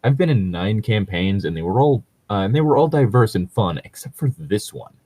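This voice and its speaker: Low husky dude reading gaming posts, wry and sweary, really lively delivery, chatty. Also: male voice, fairly quick. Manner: profane, wry, joking. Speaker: co-host reading online tabletop gaming horror stories. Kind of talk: casual banter mixed with reading posts aloud.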